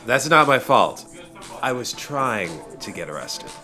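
A woman's voice: rumbling low, very animated, a little slow, sharp tone, soft background music, heavily acted. A woman acting a drab, reluctant petty criminal in an audio comedy drama, curt and grumbling. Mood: exasperated.

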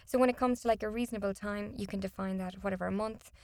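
A woman's voice: mid-range voice, steady tone, quick pace, darkish tone, dry recording, studio quality. A woman whose first language is not English, a contracts and disputes consultant, giving explanatory, emphatic interview answers with some restarts.

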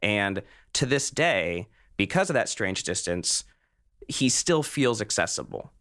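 A man's voice: lowish voice, sing-song, a little slow, a bit bright. A man hosting an interview podcast, speaking in an earnest, thoughtful, articulate way in reflective, personal conversation.

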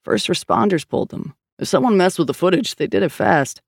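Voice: mid-range voice, lively intonation, brisk, vocal fry, breathy edge, dry recording. An audiobook narrator playing a weary, skeptical male police partner, blunt and dryly sardonic. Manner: dry, sardonic, reluctant.

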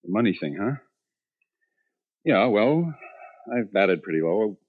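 An actor playing a feckless, good-hearted husband who jokes and rambles haltingly in a 1950s radio drama. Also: male voice, on the low side, sing-song. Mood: breezy, defensive. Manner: halting, rambling, self-deprecating. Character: feckless, joking husband, proud but ashamed, good-hearted. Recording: dull 1950s radio recording.

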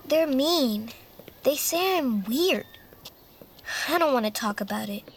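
A performer playing an earnest, bright, lonely little boy in an audio drama. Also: sits high, animated, slow pace, a little hiss or hum, full character voice. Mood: hurt. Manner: earnest, childlike. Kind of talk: audio fiction acting.

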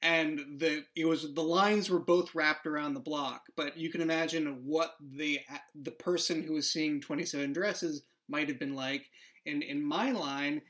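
A man with a somewhat high voice spins a comic story with lively timing. He keeps it loose and joking, like a friend at the table. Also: measured pace. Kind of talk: casual interview chat.

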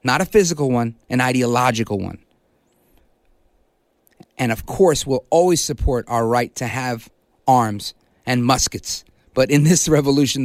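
A middle-aged man from New hosting a political talk radio show, delivering an emphatic, punchy monologue that hammers key words slowly. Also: medium pitch, no room sound, clean studio sound. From Brooklyn, New York, United States. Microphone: studio microphone.

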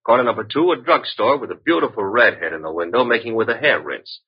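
Husky, wisecracking radio detective's sidekick. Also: male voice, lowish voice, expressive, quick pace. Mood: wry. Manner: breezy, wry banter. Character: wisecracking, flirtatious male private detective's assistant. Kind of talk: old-time radio detective acting. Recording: dull, muffled vintage radio recording.

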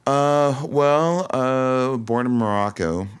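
A man's voice: mid-range voice, slow, clean tone. A man from Toronto, Canada, talking casually and reflectively as a musician guest in an interview, often hesitating. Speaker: singer-songwriter guest.

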